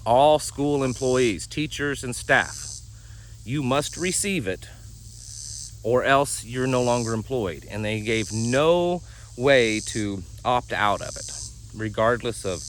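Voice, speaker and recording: A man hosting his own channel, talking casually with a raspy, worn-out voice and many fillers and restarts. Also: mid-range voice, a little hiss or hum. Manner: rambling, frequent fillers and restarts. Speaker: channel host. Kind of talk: casual talk to camera.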